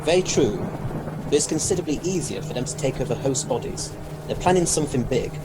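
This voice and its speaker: A man playing a brisk, urbane scientist adventurer in an audio drama, with a gentlemanly, authoritative manner. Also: mid-range voice, lively intonation, close to an everyday voice. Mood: calm, thoughtful. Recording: loud storm and engine noise.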